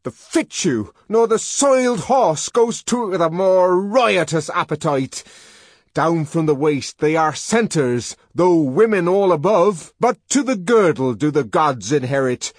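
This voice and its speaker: A man's voice: a little high, expressive, measured pace, breathy edge, no echo, studio quality, pitched above the performer's own voice. A man doing a ranting, tormented young savage. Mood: raving. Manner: shouting, ranting verse.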